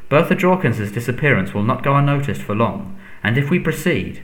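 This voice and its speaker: A man voicing a nervous, servile, cowardly henchman in a storybook, stammering and pleading in a squeaky voice. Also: big pitch swings, a little fast, echoey room, close to an everyday voice. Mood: panicked, pleading. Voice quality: squeaky when agitated.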